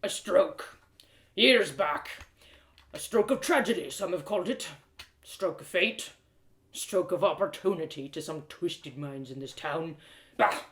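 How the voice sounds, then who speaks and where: Woman putting on a blustering gruff old blacksmith. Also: lowish voice, lively intonation, unhurried, long pauses, echoey room. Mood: gruff, proud. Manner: rustic dialect, blustering exclamations. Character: gruff, stocky, red-bearded old male blacksmith, scolding yet genial. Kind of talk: storybook character voice.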